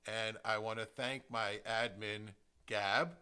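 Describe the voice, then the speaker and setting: A middle-aged American man from New Jersey hosting a podcast, giving a prepared solo introduction with emphatic, deliberate phrasing. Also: on the low side, steady tone, pushed and bright, clean tone. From New Jersey, United States. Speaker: podcast host, real estate agent.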